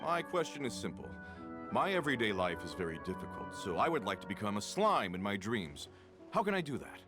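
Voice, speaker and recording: A man's voice: on the low side, very animated, brisk. A man voicing an earnest, world-weary, wistful ordinary villager in a video game. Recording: music behind the voice.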